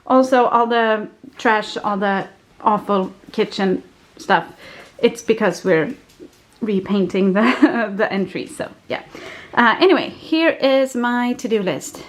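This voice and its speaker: A woman's voice: mid-range voice, slow pace. A woman in her thirties from Sweden, chatting casually to a handheld camera at home and laughing often between her words. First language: Swedish. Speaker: family vlogger. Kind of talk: casual vlog chat. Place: family home, indoors. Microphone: handheld camera at arm's length.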